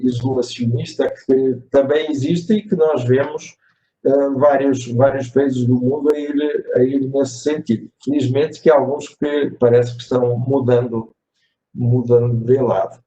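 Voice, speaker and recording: Portuguese-accented male moderator, slightly high voice, reflective and hesitant, roomy distant mic. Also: mellow. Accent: European Portuguese. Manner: measured, reflective, many hesitations and tag questions. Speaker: webinar moderator. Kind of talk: webinar discussion. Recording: echoey room, distant mic, faint noise.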